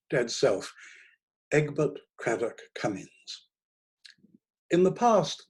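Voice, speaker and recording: Man acting a troubled, theatrically expressive male narrator. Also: medium pitch, slightly rough. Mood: mannered, self-conscious. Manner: theatrical, hesitant, swooping between hushed and emphatic. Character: troubled, rambling young man confessing his lost identity, mannered dramatic critic. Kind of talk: dramatic first-person audiobook reading.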